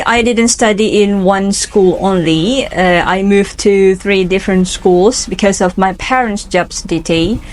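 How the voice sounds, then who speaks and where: A woman in her twenties with an Indonesian accent answering interview questions for an English speaking assignment, earnest and careful, pausing with fillers. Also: medium pitch.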